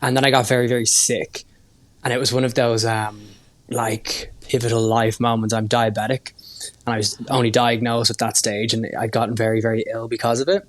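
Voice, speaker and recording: A man of thirty with a softened Irish accent, chatting casually as a hairstylist guest, self-deprecating and full of "like" fillers. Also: mid-range voice, little pitch movement, fairly quick, no echo. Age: 30s. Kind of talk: casual interview chat.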